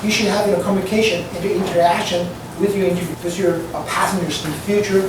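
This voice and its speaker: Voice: medium pitch, steady tone, fast talker. An aviation instructor with a mother tongue, lecturing a class in loose, repetitive full of "okay" and "you know". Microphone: distant room mic. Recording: echoey classroom from far off, noisy.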